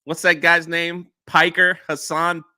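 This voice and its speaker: A man co-hosting a live stream in a relaxed, easygoing way, peppering his talk with bro and man. His tone is bright and forward, and the sound is close and dry. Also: a little high, measured pace, no echo. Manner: laid-back, says bro and man a lot. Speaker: co-host. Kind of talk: casual live-stream chat.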